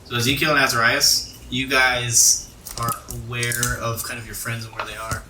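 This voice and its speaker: A man's voice: mid-range voice, level tone, normal speed, pushed and bright, a little hiss or hum. A man acting as game master, narrating a tabletop game to players in a conversational, descriptive storytelling way. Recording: echoey room, far from mic, music behind. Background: music.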